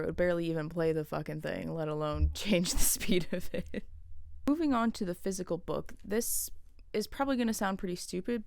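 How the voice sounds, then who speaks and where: A woman's voice: fairly low pitch, clean tone, dry recording. A young woman vlogging casually to camera, chatty, with dry humor and frequent laughs.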